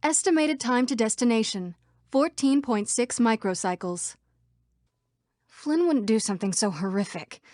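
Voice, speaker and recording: A woman's voice: a little high, expressive, moderate pace, darkish tone, no echo, clean studio sound, lightly acted. A woman voicing a female computer program citizen in a video game. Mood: formal, announcing.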